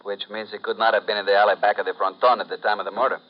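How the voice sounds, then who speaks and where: An actor playing a formal, businesslike police lieutenant in a 1950s radio drama. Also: male voice, lowish voice, flat delivery, very fast, close to an everyday voice. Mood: brisk, surprised. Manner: formal, precise English. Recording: muffled, faintly noisy vintage radio.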